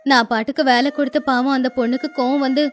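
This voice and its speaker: A woman's voice: high-pitched, fairly even intonation, fast talker, faint music behind, lightly acted. A woman voicing an anxious, caring middle-aged village mother-in-law in a cartoon moral story, fussing protectively. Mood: worried.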